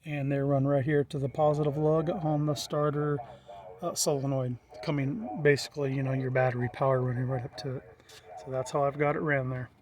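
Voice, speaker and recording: A man's voice: mid-range voice, little pitch movement, a little fast, darkish tone, rough recording. A middle-aged American man giving a casual, unscripted walkthrough in a plain-spoken, matter-of-fact way. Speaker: hobbyist presenter.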